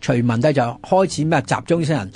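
A man's voice: mid-range voice, fairly quick, darkish tone. A man with a Hong Kong Cantonese accent discussing history emphatically, often asking rhetorical questions. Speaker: history scholar on a radio programme.

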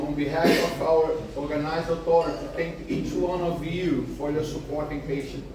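A man whose first language is Portuguese, speaking earnestly and apologetically to a seminar audience as race organizer, with halting restarts. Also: a little high, darkish tone, slight background noise. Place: meeting room with large windows. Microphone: far camera. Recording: echoey room from far off.

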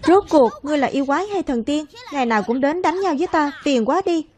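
Woman voicing a high-pitched, restless little boy hero. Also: lively intonation, fast talker, a little mellow, slight background noise. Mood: annoyed. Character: Na Tra, restless, boastful, mischievous young boy hero. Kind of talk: Vietnamese cartoon dub.

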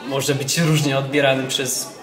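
A man's voice: medium pitch, level tone, moderate pace, over music, lightly acted. A young man in a casual conversation in an amateur radio play. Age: young adult. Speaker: audience member giving feedback. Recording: echoey space with crowd noise.

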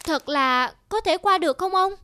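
A woman voicing a timid, eager village child in an animated folk tale. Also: very high-pitched, normal speed, a little hiss or hum, heavily acted. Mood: anxious. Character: timid village child carrying a basket of greens. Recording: loud plucked-string music behind.

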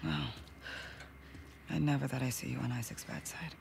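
A gravelly, wary medic. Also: female voice, a bass voice, steady tone, normal speed, noisy background, heavily acted. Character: wary young woman medic, worried and blunt. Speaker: game character. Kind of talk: video game cutscene acting.

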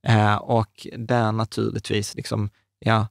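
A man's voice: fairly low pitch, completely flat delivery, creaky, smooth, no room sound. A man in his thirties co-hosting a podcast, explaining with enthusiasm in a casual chat, speaking into a table studio mic in a small room. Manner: enthusiastic, restarts and fillers, gestures while talking. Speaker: podcast co-host. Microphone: large studio mic on a table stand.

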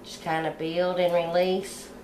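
A middle-aged woman hosting a cooking channel, explaining step by step how to decorate a sugar egg at home in a relaxed, homey way. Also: on the low side, unhurried, echoey room, a little hiss or hum. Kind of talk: hands-on decorating tutorial.

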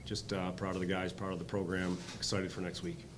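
Coach guy at a press table mic, low and flat, lots of uhs, rumble in the room. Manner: halting, many ums and uhs, earnest. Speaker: head coach. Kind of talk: postgame press conference answers. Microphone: table mic on stand.

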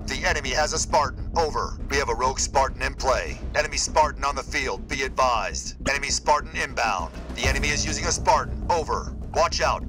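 A brisk, confident military pilot for a video game. His voice sits slightly high and has a bright, forceful edge. He delivers clipped radio callouts to his superiors, with faint music behind him. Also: poor audio. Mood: alert. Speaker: game voice actor.

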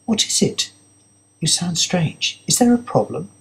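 A man's voice: high-pitched, very animated, measured pace, gravelly, pitched above the performer's own voice, heavily acted. A man voicing an anxious, pleading woman, a perfectionist fiancée in an audiobook, questioning and insistent. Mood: worried, pleading.

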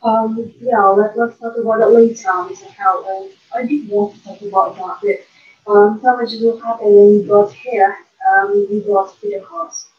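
A woman's voice: mid-range voice, moderate pace, recorded from a distance, lightly acted. Young woman as echoey, muffled county attorney. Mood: inquiring. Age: young adult. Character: county attorney questioning a witness. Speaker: student actor in a class play. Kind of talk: amateur stage-play acting. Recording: muffled, echoey home recording.

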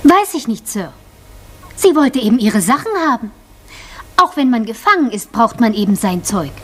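An actress playing a naive young housemaid, breathy, eager and deferential, in a German radio crime drama. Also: female voice, on the high side, big pitch swings, normal speed, a little hiss or hum. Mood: earnest, dramatic. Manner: deferential, earnest.

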